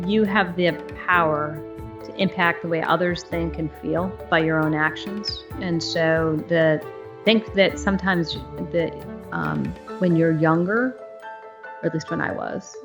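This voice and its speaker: Low, flat-voiced woman, thoughtful and halting. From northern Maine, USA. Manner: thoughtful, halting, many fillers. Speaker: CEO, podcast guest. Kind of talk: reflective interview answers. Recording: dull lo-fi sound, faint music.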